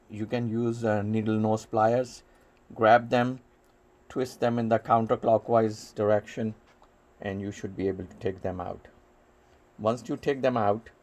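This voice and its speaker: A man giving step-by-step hands-on repair instructions in a careful, halting way, pausing between short phrases. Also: lowish voice, a little mellow. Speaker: repair tutorial host.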